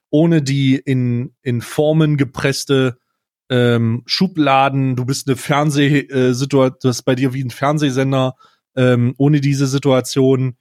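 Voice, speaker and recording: A man's voice: mid-range voice, darkish tone. A man co-hosting a casual comedy podcast in a wordy, mock-dramatic way. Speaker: podcast co-host.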